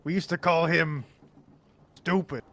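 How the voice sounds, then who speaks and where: A man plays a tabletop roleplaying game in casual banter, slipping in and out of character. His voice sits a little high and carries heavy creak. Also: fairly even intonation, a little slow, a bit bright.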